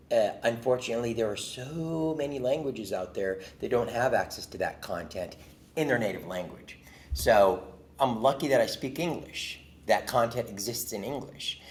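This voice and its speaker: A man from Jordan, a podcast guest, telling an earnest, expressive story in casual conversation. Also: on the low side.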